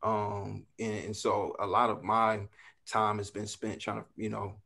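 A man's voice: on the low side, little pitch movement, measured pace, vocal fry, bright, forceful tone, slightly rough. Laid-back man chatting on a video call. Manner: laid-back, talks freely with fillers and asides. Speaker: actor guest. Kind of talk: casual video call chat. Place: home room, indoors.

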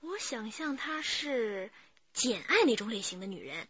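An actress playing a spirited, opinionated young female police detective in a radio drama, expressive and confident. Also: on the high side, measured pace, slightly rough, no room sound, dull audio, heavily acted. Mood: speculating.

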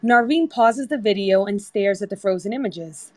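A woman narrates a screenplay, reading out scene directions. Her voice sits at a middle pitch, and the sound is very dry over a voice-chat app. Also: moderate pace, no room sound. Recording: online group voice-chat app.

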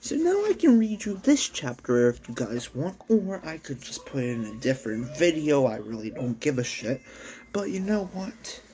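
A man reading a story aloud in a halting, casual way with sweary asides, like an amateur read-along. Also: medium pitch, sing-song, mellow, low-quality recording. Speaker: amateur reader of own anime story.

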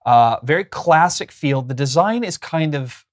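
A man's voice: fairly high pitch, lively intonation, a little slow. A man in his thirties giving a casual, chatty product review to camera, explaining as he goes, recorded on a lapel mic indoors. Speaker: host of a pen review show. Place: small furnished room indoors.

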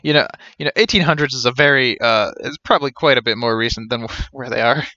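A man's voice: medium pitch, quick pace, pushed and bright. A man in his thirties giving casual live game commentary as a streamer, with wry, joking asides. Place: indoor room, webcam stream. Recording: dull lo-fi sound, music behind. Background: game music.

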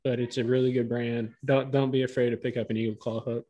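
A man's voice: medium pitch, little pitch movement, quick pace, mellow, dull audio. An American man co-hosting a podcast in casual, conversational talk. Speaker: fishing podcast co-host. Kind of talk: casual chat. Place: indoor room, on a video call.

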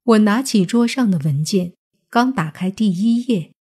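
Slow, husky woman storyteller. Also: medium pitch, lively intonation, a little mellow. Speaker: audiobook narrator. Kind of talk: scripted suspense story narration. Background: background music.

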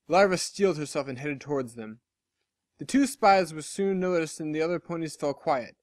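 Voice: mid-range voice, normal speed, darkish tone, no echo, studio quality. A story reader giving a scripted narration of fiction. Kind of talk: scripted narration of fan fiction with character voices.

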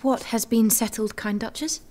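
A woman's voice: on the high side, steady tone, moderate pace, darkish tone, no echo, lightly acted. A woman playing a devout, resolute young peasant prisoner in an audio drama, earnest, plain-spoken and guarded. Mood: humble, earnest.

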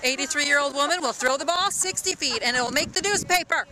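Very high-pitched, playful woman filming outdoors. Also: brisk, crowd noise. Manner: playful, cheering, teasing. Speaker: camera operator narrating family video. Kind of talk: casual excited family commentary. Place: open beach outdoors. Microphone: handheld camera, close behind it. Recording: outdoor handheld, people chattering around.

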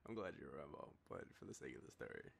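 A young Australian man playing an affectionate, nostalgic boyfriend in an intimate roleplay, talking to the listener in a halting, teasing way. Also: extremely low pitch, vocal fry, slight background noise, heavily acted. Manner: hesitant, soft chuckles, halting pauses. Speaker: audio roleplay performer.

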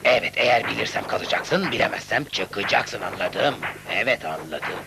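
A man voicing a slow-witted, boastful giant in a Turkish-dubbed children's cartoon, slow on the uptake and repeating himself. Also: lowish voice, lively intonation, gravelly, heavy background noise.

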